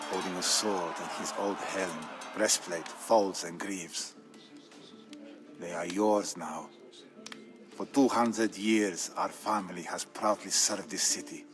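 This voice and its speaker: Male actor doing solemn byzantine guy narrating a strategy game war saga, low voice, grave n theatrical, music playing behind. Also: close to an everyday voice. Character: solemn Byzantine Greek man telling his family's war tale. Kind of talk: dramatic scripted narration.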